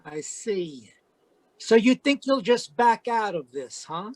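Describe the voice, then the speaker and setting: A man's voice: high voice, expressive, slow, dry recording. A man playing a pushy, slick, scheming villain in an old-time radio drama. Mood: menacing. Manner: slick, menacing, calls woman baby. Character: scheming, pushy male villain in frontier melodrama.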